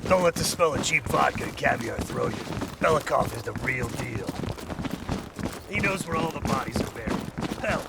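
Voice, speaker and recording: A man playing a gruff, wisecracking American soldier in a video game cutscene, with salty, terse military talk. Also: mid-range voice, lively intonation, normal speed. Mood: wry, businesslike. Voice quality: gravelly. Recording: loud gunfire and vehicle noise behind.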